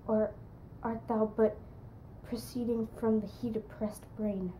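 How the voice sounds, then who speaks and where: A woman acting a haunted, brooding would-be murderer in a hushed, deliberate Shakespearean soliloquy. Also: a little high, fairly even intonation, a little hiss or hum, natural voice, rough recording. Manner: hushed, slow, breathy, deliberate.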